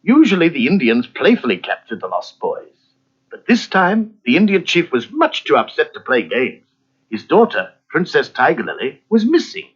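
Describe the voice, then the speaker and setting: A man narrating a scripted children's storybook in a dramatic, expressive way. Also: a little high, normal speed, husky. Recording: muffled cassette with music behind.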